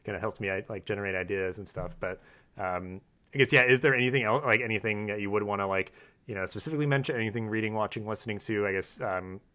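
A man's voice: lowish voice, fast talker, pushed and bright. A man hosting a podcast, talking casually and rambling, packing his sentences with "like" and "you know". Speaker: podcast host. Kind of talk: casual conversational interview.

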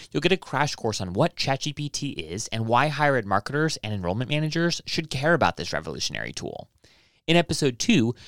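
A man's voice: medium pitch, a bit bright, dry recording, studio quality. A man hosting a podcast, introducing the series and chatting with a guest in an upbeat, rambling way with many restarts. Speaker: podcast host. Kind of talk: casual podcast interview.

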